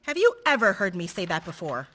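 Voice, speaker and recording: A woman's voice: mid-range voice, huge pitch swings, brisk, fairly bright tone. A woman acting a willful, defiant young woman in love in a radio play.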